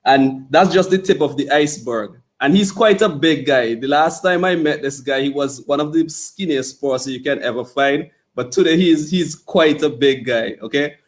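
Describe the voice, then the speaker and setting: Relaxed, chatty male podcast host. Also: on the high side, moderate pace, hardly any pauses. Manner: relaxed and playful, with frequent "you know" and "okay". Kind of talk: casual interview chat.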